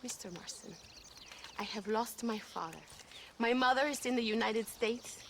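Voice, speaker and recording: A high-voiced young revolutionary with a Mexican accent. She is earnest, formal and full of grief and conviction, in a dramatic game cutscene with faint noise behind her. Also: moderate pace, a bit bright. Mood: grieving, pleading. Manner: earnest, formal, emphatic phrasing.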